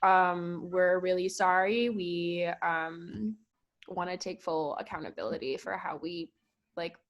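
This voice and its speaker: A woman's voice: on the low side, takes it slowly, highs cut off. A woman doing casual improv comedy chat on a podcast. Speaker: podcast cast member.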